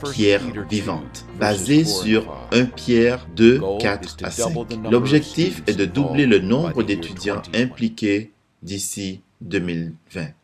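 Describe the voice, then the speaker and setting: A man reading a scripted voice-over narration in a measured, steady way. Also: on the low side. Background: music.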